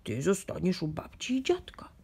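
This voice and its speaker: A woman voicing a calm, gently firm parent mouse in a storybook, explaining things soothingly as if to a child. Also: fairly low pitch, normal speed, creaky, slight background noise, heavily acted. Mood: matter-of-fact. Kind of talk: children's storybook read-aloud.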